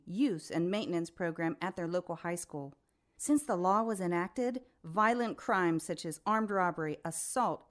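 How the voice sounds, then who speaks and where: Actress doing a composed lady news reporter for a radio play, formal and measured, asks probing stuff. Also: on the low side, lightly acted. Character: composed female TV news special reporter and interviewer.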